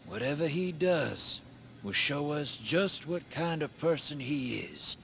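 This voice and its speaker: Slow-drawling roguish American drifter. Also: male voice, on the high side, lively intonation, gravelly, fairly bright tone, slight background noise, full character voice. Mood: wry, confiding. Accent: American, folksy drawl. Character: roguish folksy drifter, sly and easygoing adventurer. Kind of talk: video game character dialogue.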